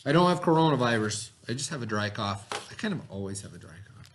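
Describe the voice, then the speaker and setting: A man's voice: medium pitch, big pitch swings, a little hiss or hum. Patient male teacher explaining vocabulary. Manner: patient, explains slowly with everyday examples; checks in often with "okay" and "right". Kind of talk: recorded classroom lesson for students at home.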